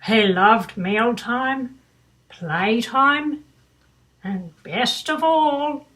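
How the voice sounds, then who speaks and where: A woman's voice: a little high, drawn-out words, a bit bright, rough recording. An older woman reading a children's bedtime story aloud with doting, grandmotherly asides. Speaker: storybook reader.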